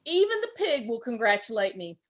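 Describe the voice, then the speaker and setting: Storybook groundhog, boastful and shouty, high and lively, put on by a woman reading aloud. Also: a little fast. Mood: elated shouting. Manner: excited, shouting, voice getting hoarse. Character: boastful excitable male groundhog, small.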